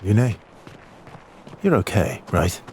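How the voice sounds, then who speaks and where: An actor playing a grave, pragmatic adventurer in a video game, speaking in terse, understated lines. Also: male voice, on the low side, big pitch swings, slow, a little mellow, a little hiss or hum. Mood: low, concerned. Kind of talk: dramatic game dialogue.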